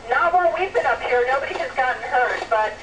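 A woman's voice: high voice, expressive, fast, a bit bright. Woman over a noisy two-way radio. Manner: plain, simple explanations, signing off with radio procedure. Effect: two-way radio transmission. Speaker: astronaut answering questions from space. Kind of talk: amateur radio question-and-answer. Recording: noisy amateur radio link, static. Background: radio noise.

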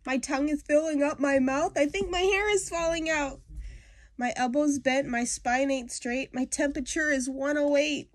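A woman's voice: high voice, fairly even intonation, normal speed, no room sound, pitched above the performer's own voice, lightly acted. Woman playing a melodramatic, whiny little girl. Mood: whiny, frantic. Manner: melodramatic, complaining, plaintive. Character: whiny little girl dramatically listing her ailments.

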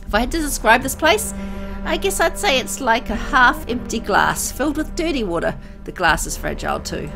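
A woman playing a weary, soft-hearted young woman who narrates her bleak town in a visual novel, reflective and conversational. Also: a little high, sing-song, moderate pace, vocal fry. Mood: weary, musing. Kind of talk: scripted first-person visual novel narration. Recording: music under the voice. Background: background music.

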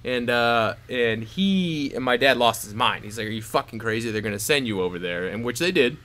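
Lively, bright-voiced podcast co-host. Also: male voice, mid-range voice, a little fast. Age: 30s. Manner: profane, rambling, laughs often. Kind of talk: casual comedic banter.

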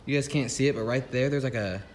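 A young man vlogging as he explores an abandoned building, talking casually and excitedly, with lots of fillers. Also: mid-range voice, quick pace, a little hiss or hum. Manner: excitable, filler-heavy, wide-eyed. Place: outdoors in an abandoned concrete building.

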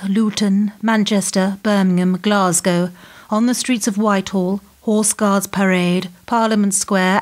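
A woman acting in a radio play as a cold, menacing power broker, formal and measured in her threats. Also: medium pitch, natural voice.

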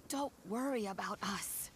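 A woman voicing a wise, gentle, elderly granny in an anime game, speaking in an unhurried way. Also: mid-range voice, slight background noise.

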